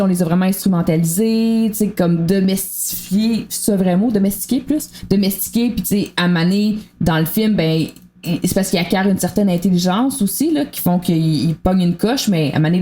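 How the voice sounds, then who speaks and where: A woman's voice: lowish voice, mellow. A woman with a colloquial Quebec French accent, thinking aloud in a casual podcast discussion as a guest. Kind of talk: casual after-show discussion.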